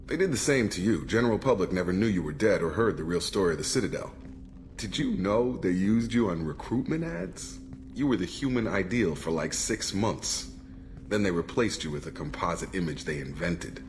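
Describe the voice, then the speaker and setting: A man's voice: sits low, lively intonation, moderate pace, a little hiss or hum. A man playing a serious, principled ex-military operative in a video game, speaking matter-of-factly. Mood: bitter, candid. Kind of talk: video game dialogue acting.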